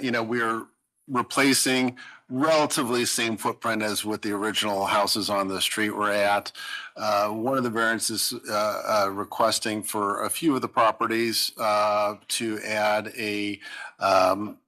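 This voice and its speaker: A male architect explains a housing plan to a zoning board, his voice bright and a touch forceful. He talks casually, leaning on fillers and tag questions, and sits on the low side of the male range. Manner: conversational, filler-heavy, tag questions like "right?". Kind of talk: presenting at a public hearing.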